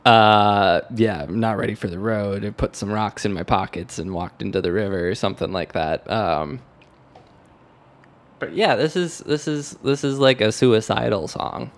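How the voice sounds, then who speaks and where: A man co-hosting a casual music discussion, speaking in hesitant, halting phrases with repeated words. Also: lowish voice. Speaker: podcast co-host.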